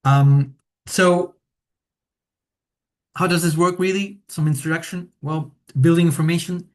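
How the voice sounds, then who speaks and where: Chilean-born man giving a software webinar. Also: a little high, fairly even intonation, a little slow. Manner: hesitant, many fillers, tag questions. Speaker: implementation consultant presenting a webinar. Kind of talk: presentation walkthrough.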